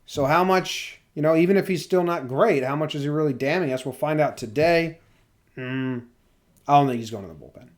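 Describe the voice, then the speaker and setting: A man's voice: a little high, a little fast. A man with an American accent hosting a podcast, talking casually about sports. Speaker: podcast host.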